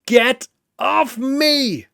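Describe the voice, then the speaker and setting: A man voicing a defiant alien warrior in a battle story, with drawn-out battle cries. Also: very high-pitched, sharp tone, clear, resonant tone, pitched above the performer's own voice, heavily acted. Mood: desperate, furious. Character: defiant male alien warrior, fighting to the death.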